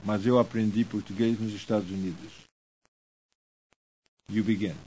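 A man reading language course drills in slow, deliberate, evenly paced sentences. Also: fairly low pitch, flat delivery, mellow, slight background noise. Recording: dull lo-fi course tape.

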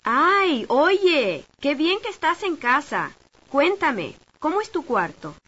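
A woman's voice: high-pitched, sing-song, slow, a bit bright. A woman reading scripted lines for a language course with careful enunciation. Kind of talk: scripted dialogue for a language lesson. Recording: dull lo-fi tape audio.

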